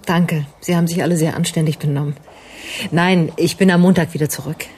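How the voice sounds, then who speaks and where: A woman's voice: low-pitched, steady tone, brisk, darkish tone, slight background noise, lightly acted. An actress playing a weary, irritable, reserved female doctor in a naturalistic radio drama, speaking in a curt, formal way. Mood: strained, subdued.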